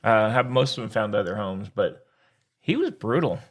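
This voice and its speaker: A man's voice: lowish voice, animated, moderate pace. A man co-hosting a sports podcast, talking in casual, slangy banter with a sarcastic, jokey, exclamatory manner.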